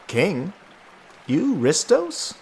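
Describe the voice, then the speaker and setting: A man's voice: a little high, huge pitch swings, very slow, gravelly, a little mellow, a little hiss or hum, full character voice. An actor playing a cocky, defiant young male prisoner in an audio drama, with a cheeky, taunting manner. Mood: cocky challenge.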